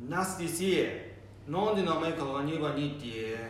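A forgetful old grandfather acted by a man grumbles in Nanbu dialect, slightly high for a man. He is stubborn and easily puzzled, in a homemade radio drama recorded far from the mic in a very echoey room. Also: lively intonation, normal speed, lightly acted. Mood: doubtful. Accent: Nanbu dialect, northern Tohoku. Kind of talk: amateur radio drama acting. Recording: echoey room, distant mic, faint noise.

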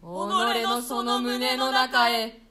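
A woman's voice: on the high side, little pitch movement, extremely slow, pushed and bright, heavily acted. A woman voicing the ghosts of two murdered young princes in a radio play reading, in a raised, chanting voice. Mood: vengeful.

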